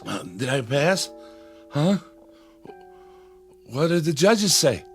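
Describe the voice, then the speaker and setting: An actor playing a timid, deferential employee, speaking in a hesitant, polite way in a radio drama. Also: male voice, fairly high pitch, sing-song, takes it slowly, faint music behind, full character voice. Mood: desperate. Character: timid, deferential male employee unsure how to have fun.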